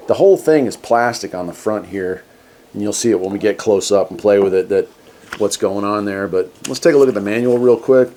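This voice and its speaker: A man's voice: on the low side, darkish tone, slight background noise. A man hosting a casual hands-on product review, talking in a laid-back, folksy way. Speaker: host of a radio gear review channel.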